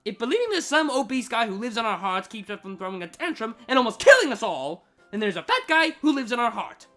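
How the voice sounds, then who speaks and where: A woman's voice: on the high side, big pitch swings, fast talker, fairly bright tone. A woman playing a furious, menacing young female villain in a dramatized fan fiction reading, with indignant, emphatic outbursts. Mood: exasperated. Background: background music.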